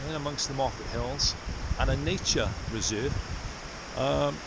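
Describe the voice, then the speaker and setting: A man from South Wales, chatting casually and haltingly to camera as he explores outdoors. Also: medium pitch, gravelly. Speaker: vlogger, artist explorer. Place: outdoors in rainy, windy hills. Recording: loud wind and outdoor noise.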